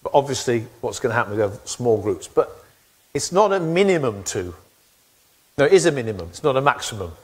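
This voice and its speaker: Animated middle-aged preacher, lively pitch. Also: male voice, fairly quick, breathy edge. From England. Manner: animated, gestures widely while pacing the stage. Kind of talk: sermon. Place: church stage with wooden backdrop.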